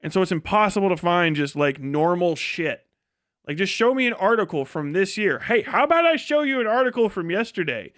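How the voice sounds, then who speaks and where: A man with a clear, slightly high voice, recorded close and dry. He rambles like a livestreamer talking off the cuff, sardonic and exasperated, with profanity all through his speech. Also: big pitch swings, brisk, fairly bright tone, no room sound. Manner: heavily profane. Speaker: livestreamer and video creator giving a work update. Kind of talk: casual rambling livestream chat.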